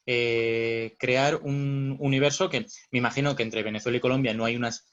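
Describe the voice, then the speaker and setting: A man's voice: mid-range voice, steady tone, normal speed, fairly bright tone, lo-fi sound. A man interviewing a guest, asking long questions with hesitant fillers.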